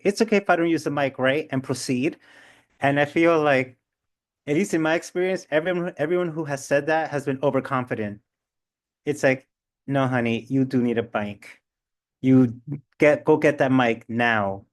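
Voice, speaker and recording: A man's voice: mid-range voice, moderate pace. A man leading an online seminar discussion, chatty and playful, reacting to participants. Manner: chatty, animated, playful asides. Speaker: seminar presenter.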